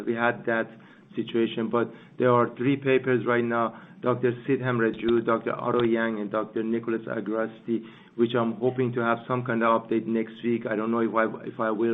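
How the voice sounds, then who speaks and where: A man speaking as a chief executive on an investor call, answering off the cuff in a halting, repetitive way. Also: medium pitch. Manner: halting, repeating himself. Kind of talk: unscripted conference call Q&A. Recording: muffled narrowband phone line.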